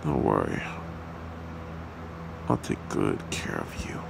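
A man's voice: a bass voice, takes it slowly, full character voice. A man voicing a possessive, cruel demon husband with a menacing, taunting manner in an ASMR roleplay. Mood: mocking. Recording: clearly noisy home recording, steady hum.

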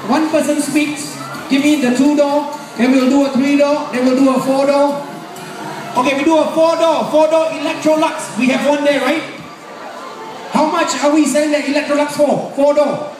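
A middle-aged man with a Malaysian accent doing loud, bantering, repetitive live sales patter as an auctioneer. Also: very high-pitched, distant mic. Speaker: sale auctioneer and emcee. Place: large open warehouse with a crowd. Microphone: handheld mic over PA, filmed from the audience. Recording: echoey, noisy PA recorded from the crowd. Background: crowd noise.

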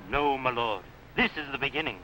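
A loyal, fervent Saxon retainer, played by a man in a fifties costume drama. He declaims in old-fashioned phrases with a bright, forceful voice. A faint hiss of old film soundtrack sits on it. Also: mid-range voice, brisk, lightly acted, low-quality recording. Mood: earnest, hopeful. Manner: emphatic, archaic theatrical declaiming. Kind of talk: 1950s television costume drama acting.

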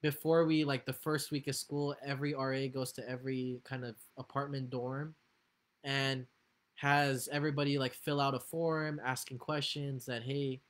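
A man's voice: mid-range voice, level tone, normal speed. A young man, a guest on a video call from home, speaking casually with filler words. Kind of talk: casual chat. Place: home room.

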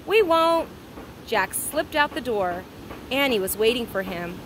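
A woman's voice: medium pitch, expressive, normal speed. A woman reading a children's story aloud expressively, like a teacher, recorded outdoors. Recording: clear outdoor ambient noise.

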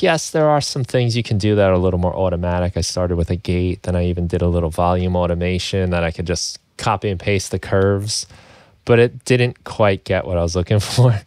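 A man hosting a music production podcast, chatting in a relaxed, conversational way over a video call from his home studio. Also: low-pitched, no room sound. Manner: relaxed, conversational, chuckles while talking. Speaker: podcast host. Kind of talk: casual interview chat.

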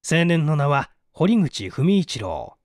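A storyteller reads a literary mystery at an unhurried pace. The delivery moves widely in pitch, and the sound is close and dry. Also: very animated, a little mellow, no echo. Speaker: audiobook reader. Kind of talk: scripted literary narration of a mystery novel.

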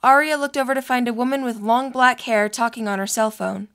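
A woman's voice: on the high side, fairly even intonation, brisk, a bit bright. A woman reading scripted narration for a story.

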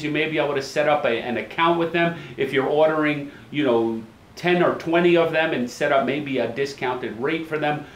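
A man in his forties, seated at his office desk, explaining real estate title searches to camera in a brisk, plain-spoken way and repeating key phrases. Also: on the high side, echoey room, a little hiss or hum, low-quality recording. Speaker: title company owner, show host.

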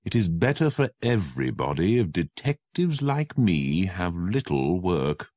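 A man voicing a self-assured male detective in a crisp, confident manner. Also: low voice, very animated, a little slow, darkish tone, no echo, clean studio sound, heavily acted. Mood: brisk. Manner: crisp, confident, slightly lifted.

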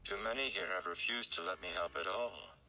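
A man voicing a calm, tired, commanding sorceress in a storybook reading, measured and in control. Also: deep voice, gravelly, heavily acted, low-quality recording. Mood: calm, dry.

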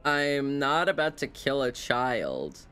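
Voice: mid-range voice, sing-song, slow pace, smooth. A game streamer giving casual live commentary, reacting conversationally to a game. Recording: loud game music behind.

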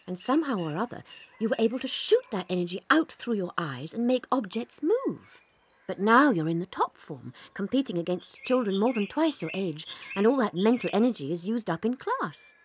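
A woman playing a kind young schoolteacher in a children's audio drama, soft-spoken and reassuring, with a British accent. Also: mid-range voice, sing-song, normal speed, slight background noise, lightly acted. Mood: tender, warm.

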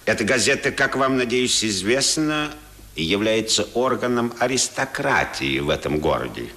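An actor playing a pompous, boastful American colonel and newspaper editor in a Russian radio drama, declaiming grandly. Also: male voice, lowish voice, sing-song, normal speed, pushed and bright. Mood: boastful, courteous. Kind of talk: Soviet 1970s radio drama acting. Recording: roomy 1970s radio play recording.

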